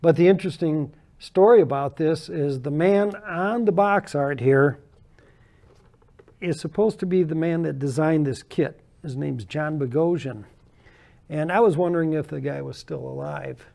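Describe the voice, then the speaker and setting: A man's voice: a little high, measured pace, creaky, warm tone. An older American man, a guest in an interview, chatting casually and telling anecdotes about his hobby with frequent laughs. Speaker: guest model builder.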